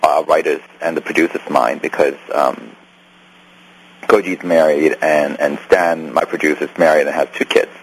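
Male guest, Hong Kong accent, deep and husky, meandering answers. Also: fairly even intonation, normal speed, poor audio. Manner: rambling, many restarts and fillers. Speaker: filmmaker guest. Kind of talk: interview.

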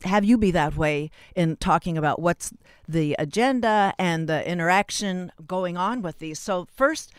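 An interviewer hosting a public affairs conversation, speaking in a deliberate, wordy way and often rephrasing mid-sentence. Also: medium pitch, clean tone, no room sound, studio quality. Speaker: interviewer, show host.

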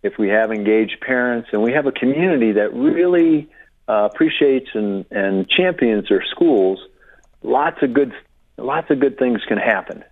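A man in his fifties from Connecticut, a guest author on a radio interview, talking haltingly with many fillers and restarts over a phone line. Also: mid-range voice, lively intonation, no echo.

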